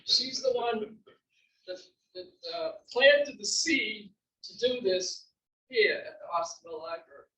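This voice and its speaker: A woman's voice: fairly high pitch, expressive, a little slow, a bit bright. A woman playwright chatting casually to a gathering, telling anecdotes in a rambling way. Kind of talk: casual Q&A chat after a reading. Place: library event room. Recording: echoey library room, distant muffled mic.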